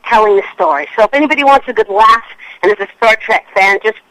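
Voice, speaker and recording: Bright, chatty Canadian woman guest. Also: mid-range voice, fast, dry recording, poor audio. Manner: chatty, self-mocking, animated. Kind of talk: casual radio interview storytelling.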